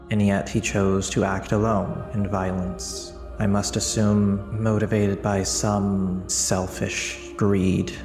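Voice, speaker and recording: A man playing a stern, judging god in a tabletop game, speaking formally and with measured admonishment. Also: fairly low pitch, reverberant, long reverb tail.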